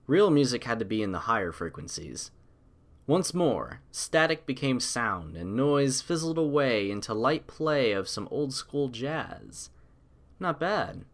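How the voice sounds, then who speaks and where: A man's voice: medium pitch, very animated, measured pace. A man reading a scripted story aloud as an audiobook narrator.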